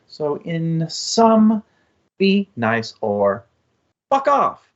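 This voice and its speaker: A man's voice: on the high side, huge pitch swings, drawn-out words. A man co-hosting a casual book discussion, speaking in an earnest, thoughtful and reflective way. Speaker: co-host of a book discussion show. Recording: slightly dull, lo-fi sound.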